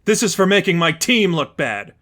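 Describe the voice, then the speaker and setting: An angry supervillainess from a superhero story, acted out by a male reader, speaks high and loud. She is arrogant and menacing, barking demands and threats. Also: big pitch swings, normal speed. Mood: furious. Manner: shouting, demanding.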